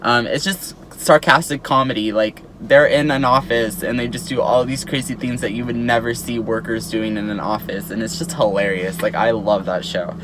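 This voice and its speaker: An eighteen-year-old guy talks straight to his followers in a chatty vlog, his voice sitting at an ordinary middle pitch. He fills his sentences with "like" and "um", and a faint hum of background noise runs underneath. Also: fairly even intonation, normal speed, hardly any pauses, fairly bright tone, low-quality recording. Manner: chatty, peppered with "like" and "um". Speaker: YouTuber. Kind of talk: casual vlog chat to camera.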